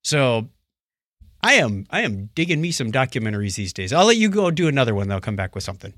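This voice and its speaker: A man with a middle-pitched voice chats as a podcast co-host. He recounts things like a storyteller and slips into imagined dialogue. The sound is dry, with no room around it. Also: sing-song, fast talker, a bit bright, dry recording. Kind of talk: casual chat, recounting documentaries.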